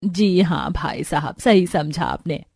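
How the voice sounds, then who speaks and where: A woman's voice: fairly low pitch, lively intonation, slow, creaky, a little mellow, heavily acted. A woman voicing a shrewd matchmaking aunt in a composed, persuasive manner. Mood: polite.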